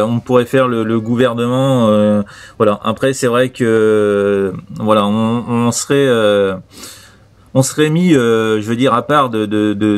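Hesitant Frenchman chatting on radio. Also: medium pitch. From Normandy, France. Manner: hesitant, repetitive, stumbling. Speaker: amateur radio operator. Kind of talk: casual radio chat.

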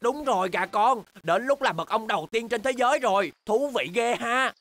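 A woman voicing an excitable, boastful young bear cub in a children's cartoon, with exaggerated, childlike delivery. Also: fairly high pitch, moderate pace, faint music behind, lightly acted. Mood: boastful, scheming. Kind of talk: animated children's cartoon dubbing.

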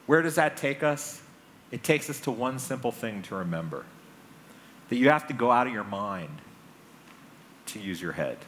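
Male conference speaker, American, mid-range pitch with a bright, tense edge, presenting on a big stage. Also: lively intonation, normal speed, pauses often. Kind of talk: public talk on stage. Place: large conference stage indoors. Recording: stage mic with clear background noise.

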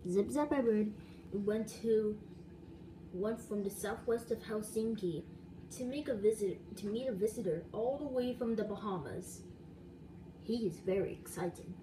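A woman narrating a homemade toy story, ad-libbing with halting restarts, recorded on a phone indoors. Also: medium pitch, background noise.

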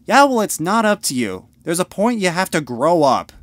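Male actor as irritable teen boy, curt and impatient, animated intonation, dry close sound, audio drama. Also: high voice, normal speed, no room sound. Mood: irritated. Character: dismissive, irritable teenage older brother.

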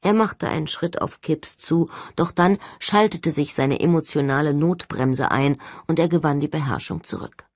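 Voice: mid-range voice, expressive, moderate pace, few pauses, no room sound, clean studio sound. An audiobook narrator reading a first-person story expressively from a script.

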